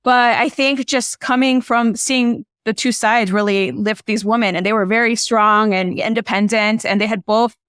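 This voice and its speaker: A woman from Illinois who grew up speaking Spanish talks about her life as a filmmaker guest. Her voice sits fairly high and sounds smooth and clear. She rambles casually, leaning on "you know" and "like." The sound is dry and close. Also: steady tone, moderate pace, few pauses, a bit bright, no room sound. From Illinois, USA. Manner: chatty, frequent "you know" and "like" fillers. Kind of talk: casual conversational storytelling.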